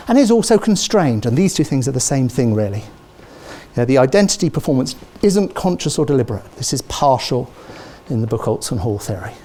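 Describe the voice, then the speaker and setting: A middle-aged British man giving a university lecture, animated, checking in with the audience with "okay?" and "yeah?", recorded on a lapel mic in a large lecture theatre. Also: fairly high pitch. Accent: British English. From Britain.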